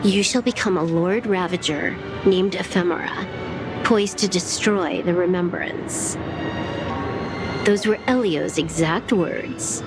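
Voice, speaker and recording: A woman voicing a calm, enigmatic woman in a game cutscene, speaking in a measured, composed way. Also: fairly low pitch. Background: game soundtrack music.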